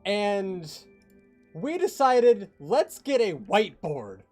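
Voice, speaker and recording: Higher-voiced man on a livestream, music behind. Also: very animated, slow pace, darkish tone. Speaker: creator on a behind-the-scenes livestream. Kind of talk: casual project walkthrough.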